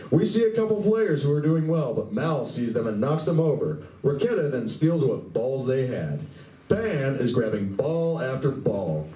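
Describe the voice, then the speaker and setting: A man's voice: medium pitch, mellow. A man reading scripted narration for a live radio play in a convention room. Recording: distant, echoey convention room.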